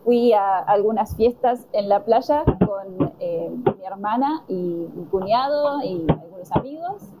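An Argentine woman answering conversation questions slowly and deliberately, pausing with fillers. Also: mid-range voice, heavy background noise. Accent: Argentine Spanish. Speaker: interviewee. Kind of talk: slow, careful conversation answers.

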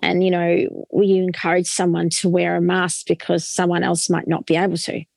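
A woman's voice: lowish voice, monotone, normal speed, gravelly, a little mellow, no echo, clean studio sound. A woman, a guest author on a podcast, answering in an earnest, fluent way with casual fillers. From Australia.

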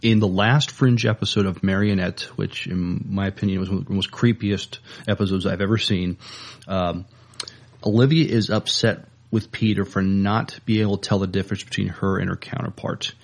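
Low, gravelly man, slightly halting. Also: steady tone. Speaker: podcast co-host. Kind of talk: casual chat.

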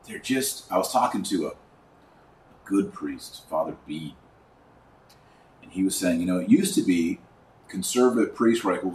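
A middle-aged man with a low-pitched voice hosts a religious commentary podcast. He talks informally but emphatically, stretching key words and leaving pauses. The sound is roomy, as if he sits some way from the microphone. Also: a little mellow. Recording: roomy echo, distant mic, faint noise.

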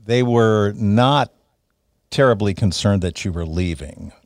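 A man's voice: fairly low pitch, unhurried, a little mellow, no room sound, studio quality. A male radio co-host chatting casually in a hesitant, noncommittal way, speaking into a broadcast microphone. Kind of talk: casual chat. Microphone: broadcast dynamic microphone.

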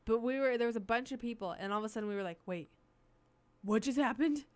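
A woman's voice: fairly high pitch, quick pace, a little mellow, clean tone. A young woman in casual chat as a college radio co-host, giggly and chatty, peppering her talk with "like". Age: young adult.

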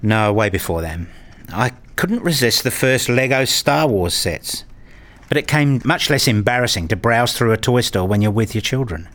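A man's voice: medium pitch, lively intonation, moderate pace, fairly bright tone, lightly acted. A man playing an earnest married father and hobbyist, narrating and acting his scripted story in a radio play. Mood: reflective. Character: eager married father and hobbyist, a little rueful. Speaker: narrator and main character.

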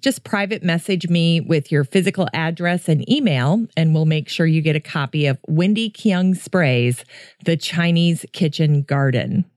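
A middle-aged woman hosting a gardening podcast in a chatty, friendly way, her voice deeper than usual after a coughing fit. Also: a little slow, darkish tone, no echo, clean studio sound. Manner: chatty, friendly listener address. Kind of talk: casual solo podcast talk.

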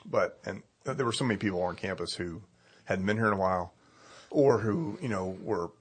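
A middle-aged man, a pastor, chatting casually in a hoarse voice and telling stories in an animated way. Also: on the low side, normal speed. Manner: chatty, animated storytelling with laughs. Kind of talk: casual chat.

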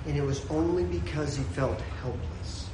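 A man preaching a sermon, his voice swinging from shouted peaks to a hushed storytelling tone. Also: a little high, normal speed, reverberant, noisy background. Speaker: pastor.

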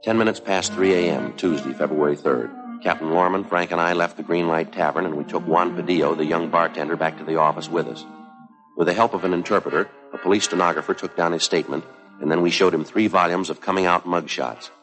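An actor playing a terse, businesslike police detective who narrates his case in a clipped, deadpan 1950s procedural style. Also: sits low, fast talker, close to an everyday voice, poor audio. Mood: matter-of-fact, grave. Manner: deadpan, matter-of-fact. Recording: 1950s TV soundtrack with music.

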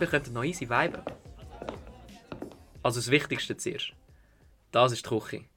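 A man's voice: medium pitch, bright, forceful tone. Breezy teenage party host acted by a young man. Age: young adult. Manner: breezy slang with English words. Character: sociable, laid-back rich teenage party host. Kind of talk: amateur radio drama dialogue.

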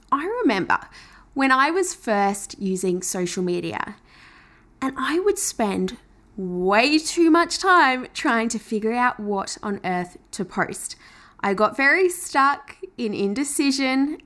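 A woman's voice: fairly high pitch, a bit bright. A woman hosting a podcast, talking in an enthusiastic, chatty way as she promotes her offer and welcomes a guest. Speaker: podcast host. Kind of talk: upbeat podcast intro and interview.

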